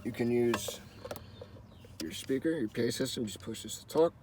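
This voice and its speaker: A man with a middling pitch talks through a practical job in a casual, informal way. He guides the listener step by step, often reusing the same instructional phrasing. There is faint noise and vehicle ambience around his voice. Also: moderate pace. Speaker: host of a how-to car channel. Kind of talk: casual hands-on tutorial talk.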